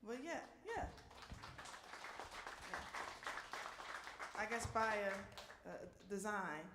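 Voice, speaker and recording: A woman's voice: medium pitch, sing-song, very slow, crowd noise, noisy background. An American woman hosting a live question-and-answer session as a singer, talking casually to an audience. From New Orleans, USA. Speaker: singer and park ranger hosting a concert Q&A. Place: indoor museum performance space. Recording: echoey room, live event microphone.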